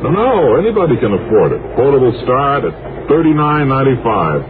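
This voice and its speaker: An actor playing a boastful phonograph salesman in a mock radio ad, with brisk sales patter. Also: mid-range voice, sing-song, natural voice. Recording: tinny, hissy 1950s radio broadcast.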